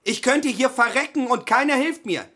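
A man's voice: extremely high pitch, moderate pace, sharp tone, heavily acted. A man acting in a radio play as an impatient, dismissive man who scolds and complains in a waiting room. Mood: desperate, indignant.

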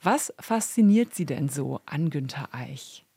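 A woman's voice: low voice, expressive, a little slow, creaky, mellow, slightly rough, dry recording. A woman presenting and interviewing on a radio culture programme.

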